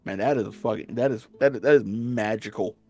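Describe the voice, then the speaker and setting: A man's voice: fairly low pitch, fairly quick, warm tone, soft background music. A man with a slight Southern accent, chatting casually as a stream guest and often restarting his sentences. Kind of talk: casual chat.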